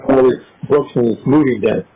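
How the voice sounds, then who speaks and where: A man's voice: medium pitch, a little fast. A middle-aged or older man chatting casually on a muffled, noisy recorded phone call. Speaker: father of an inmate, on a call.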